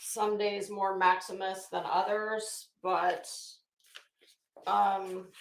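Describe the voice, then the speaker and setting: A woman's voice: medium pitch, little pitch movement, drawn-out words, gravelly, echoey room, a little hiss or hum, rough recording. A middle-aged woman hosting a casual livestream, rambling through stories in a sassy, wry, profane way. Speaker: livestream host.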